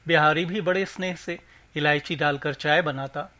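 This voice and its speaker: A man's voice: a little high, fairly even intonation, normal speed, bright, forceful tone. A man narrating a scripted short story for a podcast, reading as the host.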